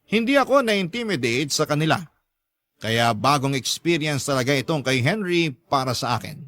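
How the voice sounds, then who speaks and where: A man's voice: a little high, lively intonation, moderate pace, a bit bright, dry recording. A man narrating a dramatic first-person story in a confiding, earnest manner.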